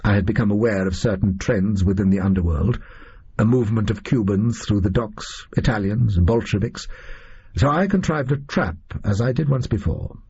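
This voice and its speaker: A man voicing a cool, self-assured gentleman detective in an audiobook mystery, in a husky voice. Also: lowish voice, moderate pace, highs cut off. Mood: cool, explanatory.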